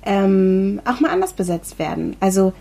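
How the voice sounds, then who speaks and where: A woman hosts a casual podcast interview, encouraging her guest and pausing on fillers. Her voice is dark and mellow with a husky edge. She speaks at a middle pitch. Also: big pitch swings. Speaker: podcast host.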